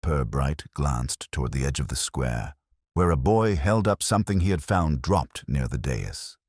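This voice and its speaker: Very deep, husky male storyteller. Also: lively intonation, measured pace, darkish tone, dry recording, studio quality. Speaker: audiobook narrator. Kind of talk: scripted literary mystery narration.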